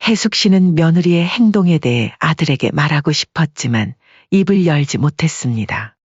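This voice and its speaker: A husky-voiced narrator with a medium pitch delivers scripted story narration. The recording is very dry and a little dull. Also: lively intonation, moderate pace, no echo.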